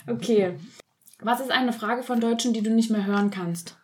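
A woman's voice: fairly high pitch, slightly rough. A young German woman hosting a podcast, chatty and teasing as she asks questions. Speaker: podcast host. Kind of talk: casual conversation.

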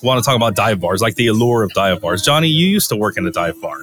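A man's voice: lowish voice, animated, quick pace, fairly bright tone. A man with a stuffy, congested voice, co-hosting a casual chat from a small dive bar, laid-back and easygoing. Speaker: podcast co-host. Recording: music playing in the bar. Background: jukebox music.

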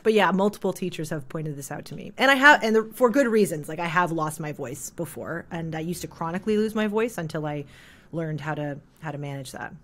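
A woman in her thirties from Canada, a jazz singer chatting as an interview guest with a thick, heavy voice, full of "like" and quick laughs. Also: low-pitched, fairly bright tone. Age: 30s. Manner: rambling, filler-heavy, laughs often. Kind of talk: casual interview chat.

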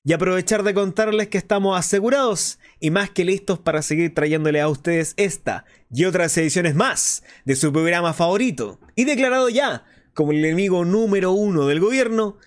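An actor playing a flamboyant, sardonic underground radio host in a radio drama, talking in a grandiloquent, ironic, defiant way. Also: male voice, high voice, fairly quick, hardly any pauses, natural voice. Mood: showman-like, sly. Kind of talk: theatrical radio drama monologue.

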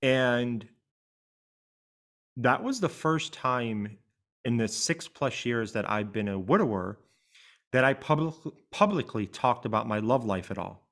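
A middle-aged man hosting a coaching interview, with a voice at an ordinary pitch for a man. He speaks earnestly and reflectively, working through his thoughts as he talks. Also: expressive, a little slow, fairly bright tone. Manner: earnest and reflective, thinks aloud. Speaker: life coach and podcast host.

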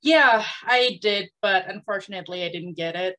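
A woman from Thailand chats as a podcast co-host. She teases her friend playfully and answers with easy sympathy. Her voice sits at a middle pitch. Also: moderate pace, bright, forceful tone. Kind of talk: casual chat.

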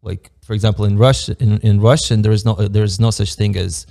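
A man's voice: on the low side, steady tone, fairly quick, vocal fry, dark tone. A man from Moldova, a native Romanian speaker with a Moldovan accent, chatting casually as a guest, hesitant with many ums and easy laughs. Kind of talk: casual chat.

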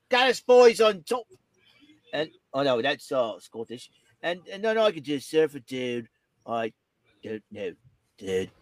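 A silly rocker dude played by a man banters in a high, wildly swooping voice. His put-on accent wanders between British and Australian. He repeats himself playfully, and the sound is close and dry. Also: fairly bright tone, no echo, heavily acted, poor audio. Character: goofy rock-and-roll dude with mangled accent. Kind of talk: improvised comic banter with bursts of singing.

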